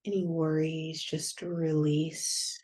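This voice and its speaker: A woman guiding a yoga nidra meditation in a soft, soothing, unhurried manner with long pauses. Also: low voice, little pitch movement. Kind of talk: guided meditation.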